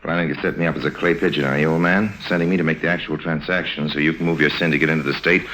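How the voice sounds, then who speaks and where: A man's voice: a bass voice, steady tone, pushed and bright. An actor playing a cynical, cunning con man with a dry, confident manner in a 1950s radio crime drama. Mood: wary, wry. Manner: dry, sardonic, unhurried confidence. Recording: faintly noisy old radio recording.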